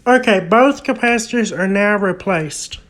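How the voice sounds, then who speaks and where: A man's voice: sits high, a little slow, a bit bright. A young adult man giving a casual, hands-on repair demonstration, explaining each step as he goes. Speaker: hobbyist vlogger. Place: indoor room at home, at a wooden table. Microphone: handheld phone close by.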